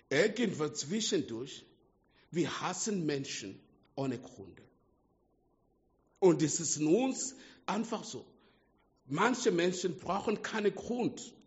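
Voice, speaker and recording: Slow-preaching man with non-native German accent. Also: a little high. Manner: slow, emphatic preaching with swings between hushed and loud. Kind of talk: sermon to a small congregation. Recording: dull lo-fi sound with long hall reverb.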